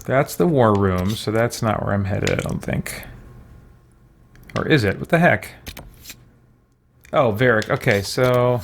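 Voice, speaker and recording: A man's voice: mid-range voice, unhurried, pauses often, slight background noise. A man giving casual game commentary, thinking aloud with puzzled muttering and mild exclamations. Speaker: gamer hosting a roleplay let's play.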